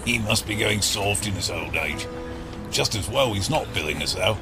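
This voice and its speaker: Man as gravelly yard boss. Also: fairly low pitch, animated, moderate pace, music in the background. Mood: gruff, brisk. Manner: blunt, clipped colloquial speech. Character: brisk, bossy male shipbreaking yard boss. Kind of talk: audio drama acting.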